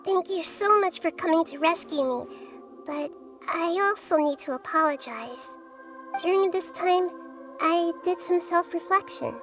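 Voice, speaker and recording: A woman voicing a gentle, wise, childlike goddess in a video game, speaking in a soft girlish voice, thoughtful and measured. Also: extremely high pitch, soft background music. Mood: grateful, reflective.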